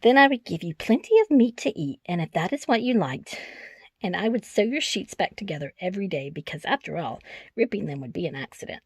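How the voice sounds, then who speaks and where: A woman performs a devoted storybook mother comforting her little one. Her mid-pitched voice is affectionate and very expressive, recorded dry with little room sound. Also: fairly quick, husky, no room sound. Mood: reassuring. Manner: affectionate, reassuring, soothing. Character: tender, devoted mother reassuring her small child. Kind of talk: storybook character voice.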